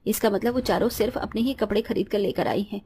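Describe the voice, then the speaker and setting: A woman's voice: medium pitch, quick pace, dark tone, slight background noise, close to an everyday voice. A woman playing caring, dutiful women of a household, mother-in-law and daughter-in-law, in a cartoon story with an earnest manner. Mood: questioning, reassuring. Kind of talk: Hindi cartoon story dialogue.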